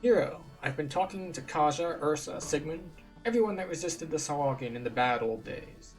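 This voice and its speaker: Casting: man playing folksy game villager, simple and friendly, raised animated voice, music underneath. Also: on the high side, moderate pace, close to an everyday voice, rough recording. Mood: earnest. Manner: folksy, colloquial, animated speech. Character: friendly rustic village man, uneducated quest giver.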